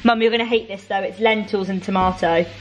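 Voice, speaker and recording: A woman's voice: medium pitch, steady tone, fast talker, faint music behind. A British woman in her twenties chatting casually and playfully as she vlogs her cooking, with bantering slang. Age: 20s. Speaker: vlogger. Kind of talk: casual cooking vlog chat. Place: home kitchen, indoors. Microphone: camera on the counter. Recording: echoey kitchen with clattering dishes.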